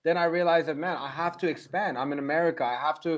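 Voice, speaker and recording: A man's voice: a little high, fairly even intonation. A man hosting an interview, asking eager questions in a casual chat. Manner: eager, rapid questioning with many restarts. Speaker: interview host.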